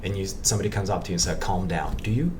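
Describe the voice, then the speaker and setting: A man's voice: low-pitched, very fast. A man in his forties from Ukraine, a podcast guest talking reflectively and repeating words as he thinks aloud. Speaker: guest, meditation practitioner. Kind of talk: casual podcast conversation. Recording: distant mic with clear background noise.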